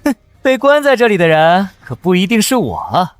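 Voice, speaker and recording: A man acts out a defiant young hero of an animated sci-fi fantasy comic. His delivery is highly expressive and slightly high for a man, cocky and resolute, with music behind it. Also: moderate pace. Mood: defiant, taunting. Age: young adult. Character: defiant young man hero with modern weapons in cultivation world. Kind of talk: dramatic animated comic voice acting.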